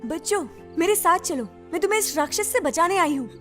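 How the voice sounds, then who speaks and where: A woman voicing a kind magic seashell fairy in a children's cartoon, reassuring and gentle. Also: extremely high pitch, animated, a little fast. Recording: faint music under the voice.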